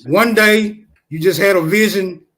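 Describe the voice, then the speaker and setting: A man in an informal debate, putting questions to others in formal, deliberate wording. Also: sits high, a bit bright.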